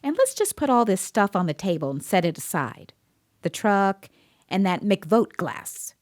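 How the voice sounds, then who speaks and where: An actress playing a tense, caring police investigator, narrating a grim story in measured, literary first person. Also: female voice, mid-range voice, big pitch swings, dry recording, studio quality. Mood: gentle. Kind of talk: first-person scripted audio drama narration.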